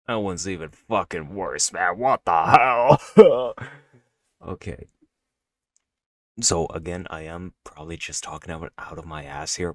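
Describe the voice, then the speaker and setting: A man's voice: deep voice, a bit bright, no echo, clean studio sound. A man giving casual, jittery, profane reaction commentary with dramatic responses. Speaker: reaction video host.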